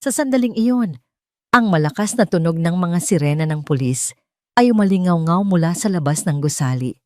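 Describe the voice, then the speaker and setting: A woman with a slightly low voice narrates a scripted first-person drama. Her delivery is emotive and deliberate. The sound is dry, with very little room in it. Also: animated, warm tone, no echo. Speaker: audio story narrator.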